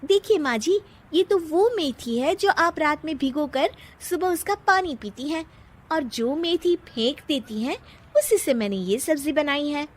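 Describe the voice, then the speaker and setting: Woman playing a proud, high-voiced young daughter-in-law. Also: lively intonation, a little slow. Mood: self-justifying. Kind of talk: dramatized comic family story.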